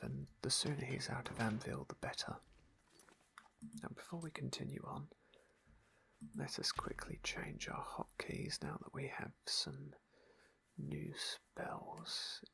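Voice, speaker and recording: Gravelly ASMR whisper. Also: mid-range voice, expressive, a little slow. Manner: soft unvoiced whisper, gentle and hesitant. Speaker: ASMR game streamer. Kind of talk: whispered ASMR roleplay playthrough.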